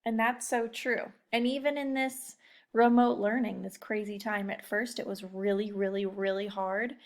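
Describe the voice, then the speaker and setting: Woman, elementary teacher, medium pitch; casual, encouraging lesson talk aimed straight at kids. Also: steady tone, moderate pace. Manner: encouraging, addresses children directly with questions. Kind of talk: casual read-aloud lesson talk to young pupils.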